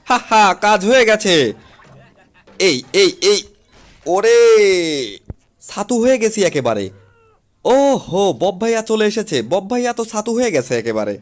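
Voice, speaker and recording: A man voicing an excitable, flustered cat barber in a comic cartoon dub, exclaiming dramatically over music. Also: high-pitched. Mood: frantic scolding. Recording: music under the voice.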